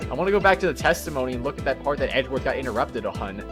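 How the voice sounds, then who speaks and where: A man's voice: medium pitch, animated, fast talker. A man hosting a casual let's-play, chatting, giggling and reading game dialogue aloud. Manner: giggly, reads lines aloud in put-on voices. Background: game music.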